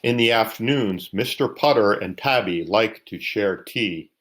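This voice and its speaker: A man with a fairly low voice reads a children's bedtime story aloud. He narrates it as a storybook reader would. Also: measured pace, fairly bright tone.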